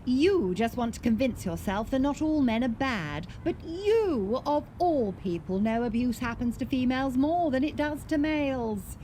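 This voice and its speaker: An actress playing an anxious, frustrated support worker in a radio drama, earnestly questioning herself. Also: female voice, sits high, animated, normal speed, few pauses, mellow. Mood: weary, defensive. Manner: earnest, agitated, self-questioning. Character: anxious, frustrated woman who ran a domestic abuse service. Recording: clear background ambient noise.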